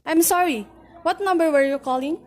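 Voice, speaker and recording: A woman's voice: very high-pitched, brisk. A woman playing a polite, formal telephone switchboard operator in a radio play, unruffled and formulaic. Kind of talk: amateur radio play acting. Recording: very noisy amateur recording.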